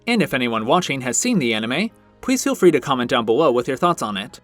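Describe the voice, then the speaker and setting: Man narrating a review, lively intonation. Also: mid-range voice, fast, no echo. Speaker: video reviewer, channel host. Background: background music.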